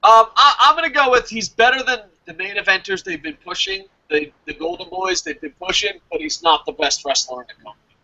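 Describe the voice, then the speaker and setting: A man's voice: very high-pitched, sing-song, fairly quick, vocal fry, bright, forceful tone, lo-fi sound. An American man chatting casually and emphatically about his opinions as a review show host, swearing freely. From New Jersey, United States.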